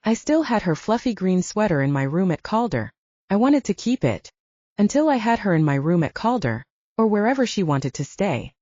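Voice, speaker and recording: A woman's voice: mid-range voice, sing-song, moderate pace, mellow, no echo, muffled recording. A woman narrating a first-person story as a scripted audiobook reading.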